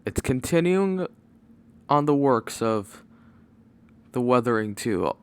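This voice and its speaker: A man's voice: medium pitch, expressive, smooth. Rambling man making a casual announcement. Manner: rambling, halting, often losing his train of thought. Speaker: video creator giving channel announcements. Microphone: microphone without pop filter. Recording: microphone pops, no pop filter.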